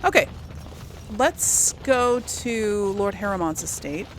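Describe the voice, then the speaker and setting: A woman's voice: mid-range voice, lively intonation, slow pace, slight background noise, rough recording. A woman giving casual, rambling commentary as she plays a video game, thinking aloud to her viewers. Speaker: gamer hosting a role-play let's play.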